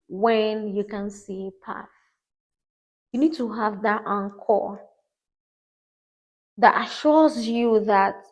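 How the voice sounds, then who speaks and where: A woman's voice: mid-range voice, darkish tone, low-quality recording. Earnest woman podcast host. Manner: earnest, encouraging, emphatic repetition. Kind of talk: reflective motivational talk.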